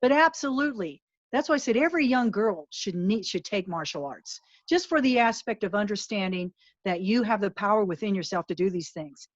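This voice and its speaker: A woman's voice: medium pitch, lively intonation, a little fast, no room sound. Woman guest talking earnest and emphatic, dry muffled sound. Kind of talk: candid interview talk.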